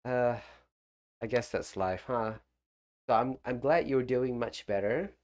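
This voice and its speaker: A man's voice: mid-range voice, measured pace, darkish tone, a little hiss or hum. A man streaming a video game who is phlegmy and still getting over the flu. He rambles casually and chats with his viewers as he plays. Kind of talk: casual live gameplay commentary.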